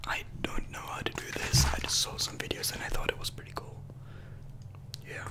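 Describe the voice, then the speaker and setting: A young man doing close-up whispered ASMR, repeating words and short phrases over and over into a close microphone. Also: normal speed. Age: young adult. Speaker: ASMR video creator, host. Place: small bedroom, indoors. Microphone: close desk microphone with foam windscreen.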